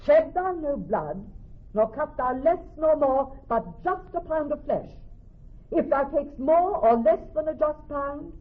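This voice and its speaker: A woman playing a clever young woman posing as a male judge in classical radio drama, speaking in a measured, formal, authoritative way. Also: high-pitched, lively intonation. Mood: stern, commanding. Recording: thin, narrow-band old radio broadcast.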